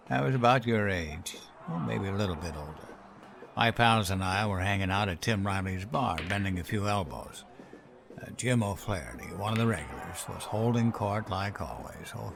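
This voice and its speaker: An actor in an audio drama playing a nostalgic old grandfather, gently and wistfully telling his memories to his granddaughter. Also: lowish voice, creaky, background noise. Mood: fond, reminiscing.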